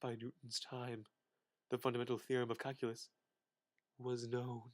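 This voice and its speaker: A man's voice: mid-range voice, completely flat delivery, normal speed. A young man giving a dramatic reading of an encyclopedia article, with theatrical, exaggerated emphasis. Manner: theatrical, exaggerated emphasis with sudden loud and hushed phrases. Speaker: student reader.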